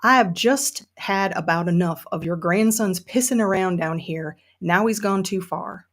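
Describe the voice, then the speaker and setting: A woman's voice: on the low side, normal speed, natural voice. A woman playing a seething middle-aged Southern woman, reading first-person fiction with sardonic emphasis and a Southern US accent. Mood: tightly angry. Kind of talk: dramatic first-person audiobook-style reading.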